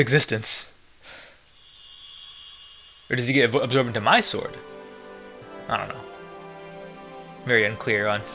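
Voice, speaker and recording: A man talks through a video game as he plays, in casual, unfiltered commentary peppered with swearing. His voice sits at a middle pitch with a bright, forceful edge. Faint game music plays underneath. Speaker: let's player.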